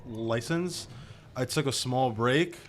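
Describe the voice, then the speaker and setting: Man, 20s, from New Jersey, podcast guest, loud voice, laughs often, mid pitch, faint background noise. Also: slow pace. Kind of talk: casual chat.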